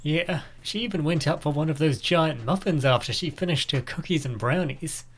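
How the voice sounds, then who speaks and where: Man acting a creaky-voiced smitten young guy. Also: on the high side, moderate pace, a bit bright. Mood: admiring. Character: smitten, admiring young male coworker. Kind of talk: amateur comic dub acting.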